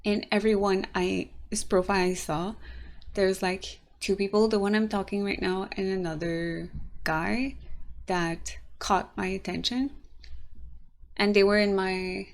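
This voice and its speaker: A young woman with a raspy, tired voice, talking casually to her camera in a rambling, halting vlog. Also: medium pitch, fairly even intonation. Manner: rambling, halting, frequent restarts and soft laughs. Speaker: vlogger.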